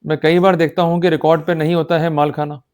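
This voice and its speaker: Indian male law lecturer, slightly high voice, emphatic and exhorting teacher who keeps checking understanding. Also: level tone, fast talker, a little mellow, clear, resonant tone. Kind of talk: instructional law lecture in Hindi-English mix.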